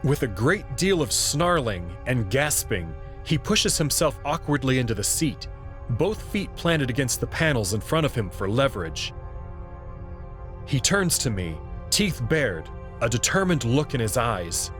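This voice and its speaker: A man narrating a first-person sci-fi story in a slow, measured reading. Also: medium pitch, big pitch swings, a bit bright, soft background music.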